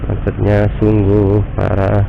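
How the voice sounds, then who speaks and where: A man's voice: lowish voice, creaky, phone-quality sound. A man with a stuffy, nasal voice from a cold, chatting casually as he vlogs while riding a motorbike through city traffic. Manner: relaxed, chatty, unhurried. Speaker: motovlogger. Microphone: action camera mic.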